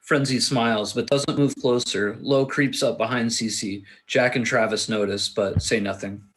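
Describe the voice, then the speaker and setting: Casting: male stage-directions reader, mid-pitched voice, narrating action for a film script read-through. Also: steady tone, normal speed, fairly bright tone, rough recording.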